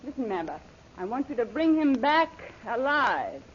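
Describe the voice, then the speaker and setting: An actress playing a commanding, vengeful villainess who makes cool, sardonic threats in a 1930s radio serial. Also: female voice, on the high side, very animated, moderate pace. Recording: dull, noisy old radio recording.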